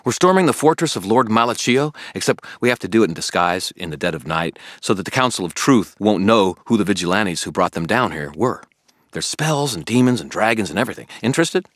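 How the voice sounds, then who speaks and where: A man doing an eager young man's voice, pitched well above his own low register and bouncing lively. He talks insistently and earnestly, like a pushy friend who won't drop the subject, in a dry, close storybook reading. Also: fairly quick, dry recording, close to an everyday voice. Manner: insistent, pleading.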